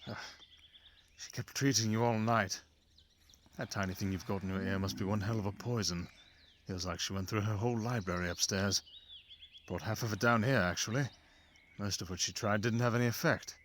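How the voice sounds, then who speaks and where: A man acts out a fox familiar in a fantasy ASMR roleplay. He has a low voice and a low-key, grumbling way of talking, watchful and grudgingly concerned. Faint outdoor-like noise sits behind him. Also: steady tone, moderate pace, fairly bright tone, close to an everyday voice. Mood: grudging, subdued.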